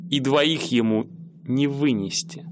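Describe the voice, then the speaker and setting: A man's voice: medium pitch, slow pace, slight background noise, lightly acted. A man playing a cold greedy outlaw. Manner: curt, flat and coldly decisive. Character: cold greedy male outlaw turned stockbroker. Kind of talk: amateur radio play acting.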